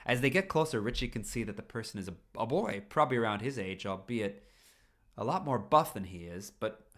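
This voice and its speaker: A man's voice: fairly low pitch, lively intonation, brisk, fairly bright tone. A man reading a story aloud expressively on a stream, now and then tripping over a phrase and repeating it. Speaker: fan fiction reader on a livestream.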